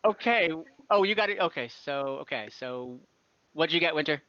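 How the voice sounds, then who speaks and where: A man acting as game master in a casual online tabletop game, explaining rules in an easygoing, halting way. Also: a little high, expressive, bright, forceful tone, dry recording, lo-fi sound.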